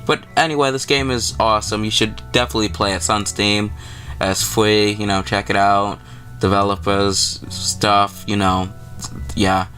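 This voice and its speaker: A man's voice: lowish voice, fairly even intonation, normal speed, bright, forceful tone. Casual man narrating a game over music. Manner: informal slangy commentary, stumbles while reading. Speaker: gaming video host. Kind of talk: casual let's play, reading visual novel text aloud with reactions. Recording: music playing behind the voice.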